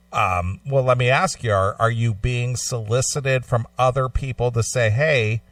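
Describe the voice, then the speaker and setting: A man's voice: medium pitch, no echo, distant mic. A middle-aged man hosting a radio show, interviewing in a conversational way.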